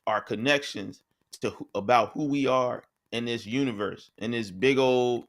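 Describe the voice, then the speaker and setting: A man's voice: medium pitch, normal speed. A man co-hosting a podcast, talking in a casual, conversational way and often checking in with "right?". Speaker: podcast co-host. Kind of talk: casual discussion.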